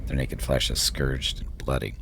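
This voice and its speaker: A man streaming a game, reading its text aloud with relaxed, wry asides. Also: a bass voice, moderate pace, vocal fry, noisy background. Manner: relaxed, wry asides between read passages.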